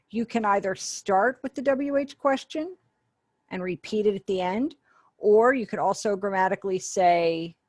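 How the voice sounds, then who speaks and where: A woman's voice: medium pitch, dry recording. A woman giving an online sign language lesson over a webinar call, patiently explaining and acting out example phrases. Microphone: webinar video call.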